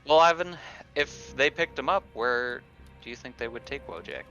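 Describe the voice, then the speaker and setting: A man's voice: medium pitch, moderate pace, bright, forceful tone, soft background music. A man playing a tabletop roleplaying game and chatting casually, heard through a dull, lo-fi microphone.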